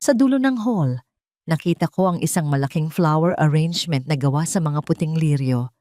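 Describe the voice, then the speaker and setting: Woman, dramatic scripted storytelling, first-person narrator, slightly low pitch, measured and solemn, dry recording. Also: animated, warm tone, no room sound.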